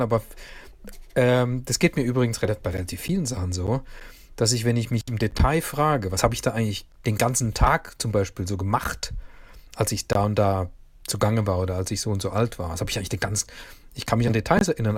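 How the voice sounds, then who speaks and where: A man's voice: on the low side, husky. Hesitant man from Mannheim, dialect tinge. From Mannheim region, Germany. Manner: hesitant, many fillers and restarts. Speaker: guest. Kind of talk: casual interview.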